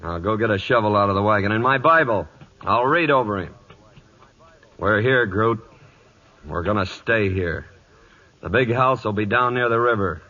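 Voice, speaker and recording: Hard, forceful American cattle baron. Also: male voice, on the low side, lively intonation, moderate pace, long pauses. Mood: resolute. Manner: blunt, commanding, terse. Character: hard, domineering cattle baron, blunt and stubborn. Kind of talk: 1940s Western radio acting. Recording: muffled 1940s radio broadcast.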